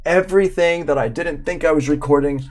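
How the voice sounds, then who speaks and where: A man in his forties talks like an instructor on a live online class. His delivery is informal and enthusiastic, in a voice a touch higher than most men's. He explains things casually as he demonstrates them. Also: fairly quick, darkish tone. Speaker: audio production instructor. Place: small room at home, indoors.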